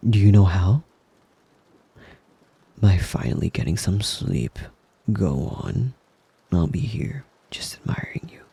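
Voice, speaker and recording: A man playing a doting, possessive wolf-boy lover in an intimate ASMR roleplay, tender and coaxing, often whispering close to the microphone in a husky voice. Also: fairly low pitch, warm tone, full character voice. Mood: soothing, sleepy. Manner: tender, slow, lingering, coaxing.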